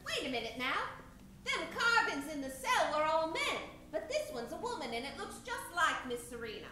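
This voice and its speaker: Woman acting a very high-pitched, feisty, folksy gal. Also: very animated, normal speed, pushed and bright, exaggerated voice. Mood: alarmed, curious. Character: feisty, folksy, suspicious woman in comic prison mystery. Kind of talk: comic old-time radio play acting. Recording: echoey stage, distant mic, clear background noise.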